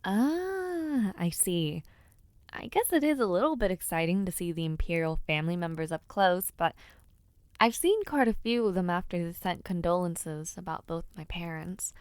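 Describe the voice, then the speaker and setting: A woman's voice: on the low side, animated, moderate pace, darkish tone, no room sound, close to an everyday voice. A young woman voicing a spirited, playful noblewoman knight in an audio roleplay, chatty and teasing. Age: young adult.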